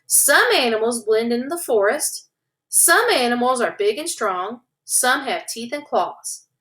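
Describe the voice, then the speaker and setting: A wise, kindly older skunk from a children's story, read by a woman. He explains things gently and reassuringly, in a fairly high voice that rises and falls a lot. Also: animated, measured pace. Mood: explaining.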